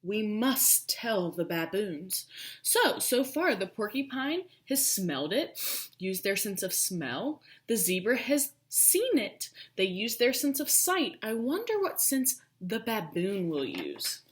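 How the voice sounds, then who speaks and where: Expressive, slow-paced woman reading a storybook. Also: fairly high pitch. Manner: expressive, emphatic, thinking aloud. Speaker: early childhood teacher. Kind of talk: children's storybook read-aloud with questions.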